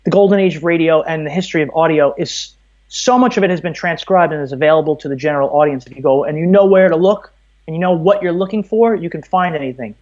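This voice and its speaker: A man's voice: fairly high pitch, fast, a little mellow. An American man in his thirties from Brooklyn, talking at length and explaining things as a guest in a casual interview. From Brooklyn, New York, USA. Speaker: podcast guest, audio producer. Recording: slightly dull, muffled audio.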